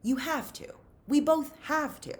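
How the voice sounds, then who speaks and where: A woman's voice: high-pitched, unhurried, roomy sound, pitched above the performer's own voice. A woman reading the lines of an earnest, urgent teenage boy in a storybook, with a pleading manner.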